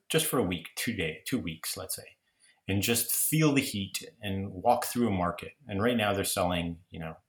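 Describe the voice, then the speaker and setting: Deep-voiced reflective man, roomy echo. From Toronto, Canada. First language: Greek. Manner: reflective, rambling, many restarts. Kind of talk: casual conversation.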